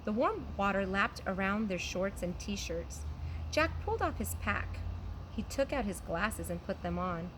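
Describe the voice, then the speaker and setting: A woman reads a children's storybook aloud like a teacher addressing young listeners, in an animated manner. Her pitch is average, and she is outdoors with some noise around her. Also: unhurried. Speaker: teacher reading aloud to pupils. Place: outdoors on a trampoline. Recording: background noise, outdoor ambience.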